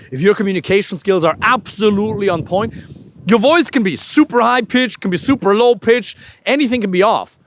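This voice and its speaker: Energetic high-voiced male dating coach. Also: no echo. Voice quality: lively, emphatic, swears casually. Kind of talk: walking talk to camera, coaching. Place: outdoors, walking with a camera operator.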